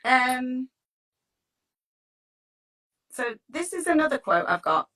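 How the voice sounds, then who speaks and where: A woman's voice: high voice, big pitch swings, takes it slowly, bright, forceful tone. A woman giving an informal coaching talk in a chatty, encouraging way, often checking in with tag questions. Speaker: teacher wellbeing coach leading a talk. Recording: distant microphone.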